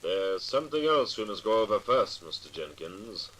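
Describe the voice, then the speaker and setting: Low-voiced, wry radio sleuth. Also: male voice, very animated, normal speed, a crowd in the background. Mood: menacing, insistent. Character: calm, commanding amateur sleuth with a suave, wry edge. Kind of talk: 1940s radio mystery acting. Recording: noisy vintage 1940s radio recording.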